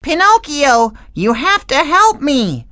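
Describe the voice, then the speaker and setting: A woman voicing an anxious, pushy young boy in an animated children's story, urgent and insistent. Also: high-pitched, slow, dry recording, heavily acted. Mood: pleading.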